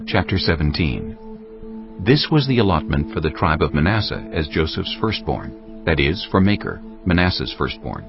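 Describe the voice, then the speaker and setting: A man narrating scripture from a script as a measured, solemn reading. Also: low voice, vocal fry. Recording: muffled audio with music behind.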